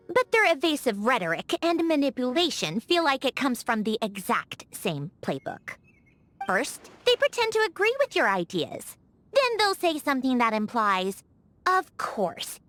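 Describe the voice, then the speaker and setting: An actress voicing a haughty, prickly young genius lady automaton in a video game, huffy and easily flustered, with a secretly soft heart. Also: fairly high pitch. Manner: haughty, huffy, flustered when caught being kind. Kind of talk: scripted video game character voice acting.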